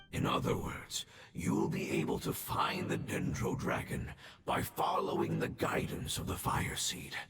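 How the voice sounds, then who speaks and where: An actor playing a dying ancient male creature who speaks in a hushed, gravelly, solemn way in a game cutscene. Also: very deep voice, heavy background noise, heavily acted. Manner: solemn, measured farewell. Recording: echoey voice over game music.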